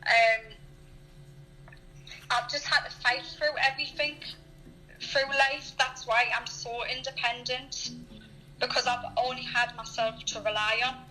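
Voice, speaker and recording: Englishwoman, thirties, fairly high bright voice, candid and earnest in personal chat, light music behind. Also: fairly even intonation, unhurried, long pauses, low-quality recording. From Newcastle, England. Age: early 30s.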